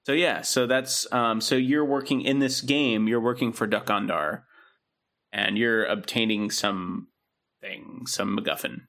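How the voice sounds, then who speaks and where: A man's voice: fairly low pitch, measured pace, creaky, a bit bright. A man co-hosting a podcast, chatting casually and rambling with many fillers and restarts. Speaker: podcast co-host. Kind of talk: casual chat.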